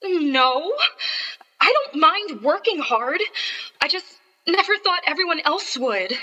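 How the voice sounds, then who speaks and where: An actress playing a young, earnest, wounded stage ingenue in a radio play, with plaintive, theatrical delivery. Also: female voice, high-pitched, lively intonation, slow pace, sharp tone, long reverb tail, heavily acted. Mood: weary, stung.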